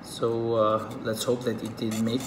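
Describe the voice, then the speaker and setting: A man from Egypt with an Arabic accent, whose first language is Arabic. He speaks in a casual, halting way with many fillers, demonstrating something at a table indoors. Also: lowish voice, completely flat delivery, reverberant, noisy background. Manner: halting, with frequent fillers and restarts. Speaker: reptile keeper.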